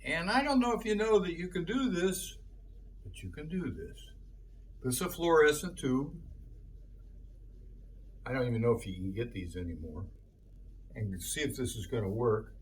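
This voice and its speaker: Man tinkering aloud over clear background noise. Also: on the high side, expressive, normal speed, pauses often. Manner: folksy, thinking aloud while tinkering. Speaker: host of a DIY electronics repair video. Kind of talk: casual hands-on demonstration, talking to viewers.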